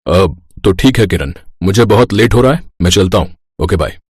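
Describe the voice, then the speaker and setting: Guy doing cartoon husband, young, casual n evasive, lowish voice, very dry recording. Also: animated, normal speed, a little mellow, slightly rough, no room sound. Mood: hurried, evasive. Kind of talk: animated moral-story cartoon voice acting.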